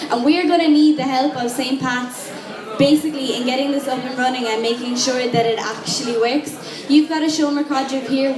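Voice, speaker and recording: Young Irish woman, student candidate, frank casual delivery; high voice, roomy echo with crowd around. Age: young adult. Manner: casual, frank, quick with asides. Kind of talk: campaign speech. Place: lecture room with an audience. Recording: echoey room with crowd noise.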